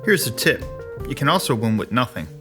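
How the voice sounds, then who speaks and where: A man playing a gruff, easygoing army sergeant in an audio drama, blunt, casual and salty. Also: mid-range voice, big pitch swings, fairly quick, over music, close to an everyday voice. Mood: blunt, teasing.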